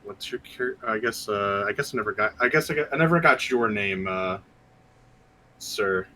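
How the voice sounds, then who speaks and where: Bright, low-voiced male tabletop player. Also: moderate pace. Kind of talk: casual improvised roleplay.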